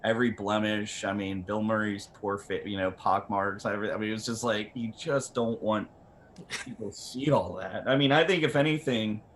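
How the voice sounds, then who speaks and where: A man explaining technical matters informally as a panel expert, haltingly and repeating words, on a video call with some noise. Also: lowish voice, a bit bright.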